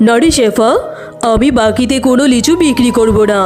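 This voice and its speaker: A stingy, curt tiger shopkeeper from a cartoon, voiced by a woman, who turns customers away. The voice sits high for the part, and soft music plays under it. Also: brisk, natural voice. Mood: dismissive. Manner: curt, dismissive. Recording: voice with background music.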